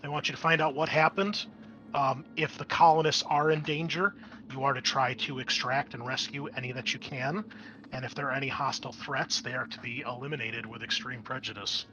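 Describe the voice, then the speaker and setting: A man narrating a tabletop game as game master in a casual, improvised way, often halting to think aloud. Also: medium pitch, steady tone, pushed and bright, muffled recording. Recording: clear background noise.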